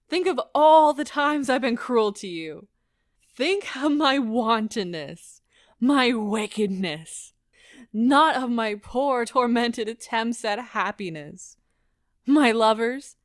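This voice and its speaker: An actress performs a wounded, bitterly defiant wife in an audio drama. Her high voice moves slowly and deliberately, heavy with impassioned theatrical feeling. The sound is close and dry. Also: expressive, no echo.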